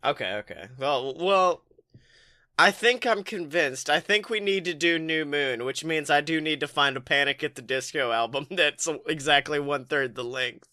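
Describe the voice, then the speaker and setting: A man hosting a comedic podcast with casual, joking, profane banter in an animated manner. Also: a little high, moderate pace. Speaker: podcast host.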